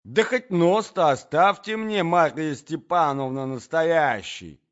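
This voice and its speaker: Man playing a plaintive, doddering old prince, slow-spoken. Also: high voice, expressive, fairly bright tone, highs cut off, voice pushed up, exaggerated voice. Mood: plaintive distress. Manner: muddled, plaintive, lingering on words, affected French phrases.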